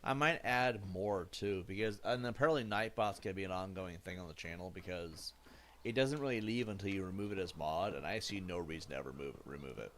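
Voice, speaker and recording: Deep-voiced man in his thirties rambling. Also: fairly quick. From United States. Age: 30s. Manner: rambling, lots of fillers and self-corrections. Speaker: gaming streamer. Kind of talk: casual chat while playing a game. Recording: steady background noise.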